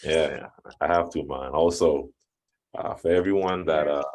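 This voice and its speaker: Very deep, slow-talking man. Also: darkish tone. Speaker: club member giving fines. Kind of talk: casual online meeting talk. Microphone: video call.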